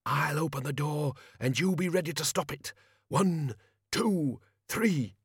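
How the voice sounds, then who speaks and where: A man's voice: a little high, expressive, a little slow, breathy edge, dry recording, studio quality, voice pushed up, full character voice. A man voicing a nervous old male wizard who whispers and hisses. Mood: tense. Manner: hushed, hissing.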